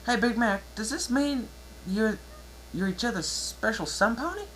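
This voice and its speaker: A performer voicing an innocent, curious little cartoon filly who gets excitable, in a dramatic fan-fiction reading. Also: mid-range voice, a little slow, a little hiss or hum, voice pushed up, low-quality recording. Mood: confused, curious.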